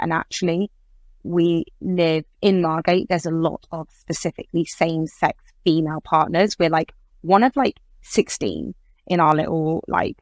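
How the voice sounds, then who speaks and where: A woman's voice: on the low side, dry recording. An English woman in her thirties chatting as a podcast guest, talking freely and filling with "like" and "I guess". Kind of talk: casual interview chat.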